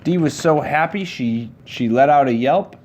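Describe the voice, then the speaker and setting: A man reading a children's picture book aloud to his child, with a measured, rhyming storybook delivery. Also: a little high, darkish tone, soft background music.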